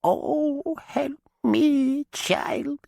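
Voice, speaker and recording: A man voicing a chained, dead old witch woman who croaks in a quivering voice full of pain. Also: extremely high pitch, extremely slow, dark tone, no echo, studio quality, pitched above the performer's own voice, exaggerated voice. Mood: begging.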